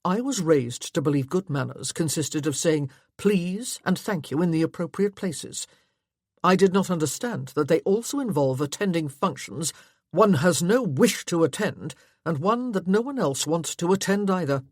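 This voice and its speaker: A woman's voice: on the low side, expressive, normal speed, a little mellow, dry recording, clean studio sound. A woman voicing a curt, stubborn, sarcastic husband in a period romance audiobook, with clipped formal phrasing. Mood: petty, sarcastic.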